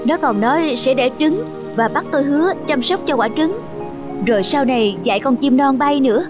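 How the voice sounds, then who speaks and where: A woman's voice: sits high, expressive, normal speed, music in the background. A woman voicing an anxious male cat in a cartoon, an earnest, worried foster parent. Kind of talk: cartoon character acting.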